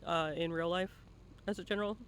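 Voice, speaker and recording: Male stream host, high bright voice, casual bantering interviewer, encouraging, a bit sweary. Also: fairly even intonation, fairly quick, slight background noise.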